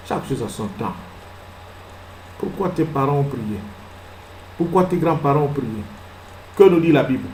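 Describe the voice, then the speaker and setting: Animated pastor preaching with echo. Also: male voice, fairly high pitch, a little hiss or hum. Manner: preaching with rhetorical questions, swings from soft to loud; acts out little scenes and laughs at his own jokes. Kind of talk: sermon.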